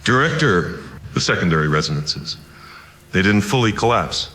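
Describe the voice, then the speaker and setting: A man playing an earnest, cautious theoretical physicist in an audio drama, speaking in a measured, serious and precise way. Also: deep voice, sing-song, bright, forceful tone, heavily acted. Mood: uneasy. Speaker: scientist.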